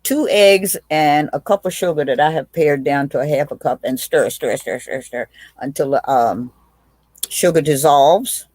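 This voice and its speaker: A woman's voice: low-pitched, measured pace, darkish tone, dry recording. A woman, a witty, blunt guest telling stories in a casual video chat, often restarting her sentences. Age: 80s. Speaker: guest, aunt.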